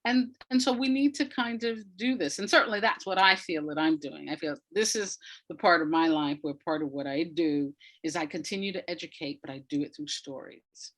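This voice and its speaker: Low-pitched woman, emphatic interview guest. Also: a little fast, few pauses. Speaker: guest educator and writer. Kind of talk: conversational interview.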